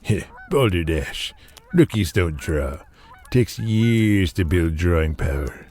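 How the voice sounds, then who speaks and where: A man playing a gruff, stubborn older father who runs a wrestling promotion in an audio drama. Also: low voice, lively intonation, slow pace, darkish tone, heavily acted. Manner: gruff, dismissive.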